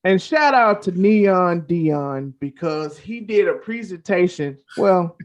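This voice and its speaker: A man's voice: sits high, animated, slow pace. A man co-hosting a casual chat show in an informal, joking manner. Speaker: talk show co-host. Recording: dull, lo-fi sound.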